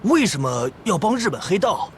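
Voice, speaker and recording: A suspicious, confrontational gang guard in a video game. His voice is fairly high and swings a lot as he challenges and accuses, over a faint rumble. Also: sing-song, moderate pace. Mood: accusing. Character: suspicious, confrontational male Chinatown underworld guard. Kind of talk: video game dub dialogue.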